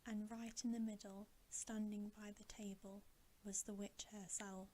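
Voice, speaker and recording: Slow, whispering woman reading aloud. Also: mid-range voice, slight background noise, poor audio. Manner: hushed, mostly whispering, gentle and deliberate. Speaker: ASMR reader. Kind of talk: whispered bedtime ASMR storybook reading.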